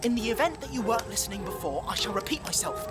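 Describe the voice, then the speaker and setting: A woman's voice: medium pitch, animated, quick pace, natural voice. A performer, heard as a woman, playing a stubborn, earnest young pine marten hero in an audio drama. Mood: defiant. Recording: loud music over the voice.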